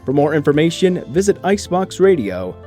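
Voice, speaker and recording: Animated male radio announcer. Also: on the high side, fairly quick, faint music behind. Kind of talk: scripted radio drama credits.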